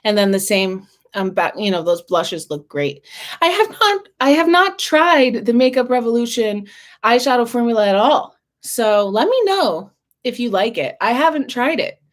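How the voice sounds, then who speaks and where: A woman chatting casually in a makeup review vlog, her voice husky and a little hoarse as if she is losing it, often clearing her throat between fillers. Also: a little high, big pitch swings, moderate pace. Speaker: beauty vlogger.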